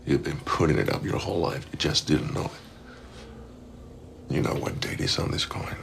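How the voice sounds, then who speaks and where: A deep-voiced calm killer with a heavy gravelly fry. He speaks in a deadpan, half-whispered menace, very deliberately, over clear background noise in a film clip. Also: full character voice. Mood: quiet menace. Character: calm, menacing killer toying with his victims. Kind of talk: film acting.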